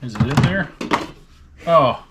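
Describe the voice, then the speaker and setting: A man's voice: fairly high pitch, lively intonation, slow. A man with an American Midwestern accent chats casually and jokingly, thinking aloud as he works. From Nebraska, United States. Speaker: vlog host, online reseller. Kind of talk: casual chat while sorting and pricing items. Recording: noisy room with clicks.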